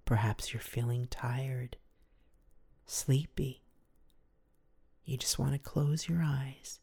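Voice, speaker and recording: Woman host with a super low resonant voice, rambly and chatty, pokes fun at herself. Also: steady tone, slow pace, vocal fry, warm tone, slightly rough. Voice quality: deep resonant voice. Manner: rambling, chatty, self-deprecating. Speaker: podcast host. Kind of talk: casual solo podcast talk.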